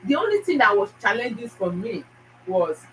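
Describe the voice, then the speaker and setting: A Nigerian-accented woman talks straight to her viewers in a fast, emphatic way, often repeating herself. Her voice sits a little high. She sounds set back from the mic, with faint noise behind her. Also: sing-song, a bit bright, recorded from a distance, rough recording. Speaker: YouTube channel host. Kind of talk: casual motivational talk to viewers.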